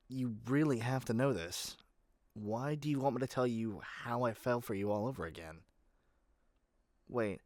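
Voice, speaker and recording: A man's voice: mid-range voice, darkish tone, no echo, clean studio sound, close to an everyday voice. A man playing a doting yet controlling yandere kidnapper in an ASMR roleplay, speaking intimately to the listener with sweet pet names. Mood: excited, possessive.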